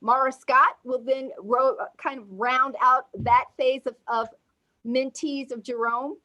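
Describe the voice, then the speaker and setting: Woman, moderator, fairly high voice, formal introductions, video call. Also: fairly even intonation, measured pace, lo-fi sound. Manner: warm formal introductions, corrects herself. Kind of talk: introducing speakers at an online tribute.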